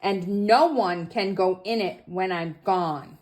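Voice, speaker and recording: A woman's voice: lowish voice, takes it slowly, a little mellow. A woman voicing a possessive, bossy little boy in a storybook, with emphatic delivery.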